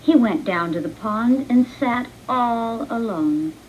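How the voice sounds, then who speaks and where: A woman reading a children's storybook in an expressive, deliberate read-along manner. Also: a little high, dull audio, a little hiss or hum. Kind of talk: scripted children's storybook narration.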